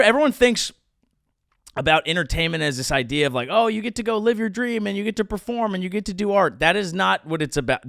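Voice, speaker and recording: A man's voice: on the high side, gravelly, a bit bright, dry recording, studio quality. A man in his thirties hosting a comedy show, delivering a sarcastic monologue with mock-outraged rants. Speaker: comedian host.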